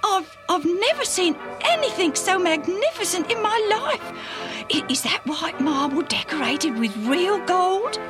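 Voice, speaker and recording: A woman voicing an excitable man, gasping in awe over a grand city, in a story reading. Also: measured pace, music in the background, full character voice. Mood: awed. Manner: gasping, high and breathless.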